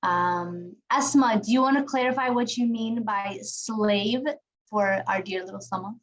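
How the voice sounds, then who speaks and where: A woman's voice: medium pitch, a little slow. A woman facilitating an online youth check-in, affectionate and encouraging, praising each young speaker in turn. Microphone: video call.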